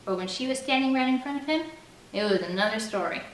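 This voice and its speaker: A woman's voice: a little high, animated, quick pace, recorded from a distance. A woman reading a novel aloud, giving the first-person narration plenty of expression. The mic sits back in an echoey room. A faint air-conditioner hum stays underneath throughout. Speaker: audiobook reader.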